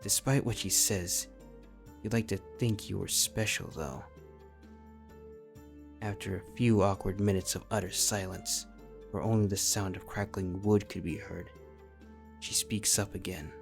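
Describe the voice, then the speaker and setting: Low-voiced man narrating a story. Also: steady tone, measured pace, creaky, long pauses, soft background music. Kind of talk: scripted second-person story narration.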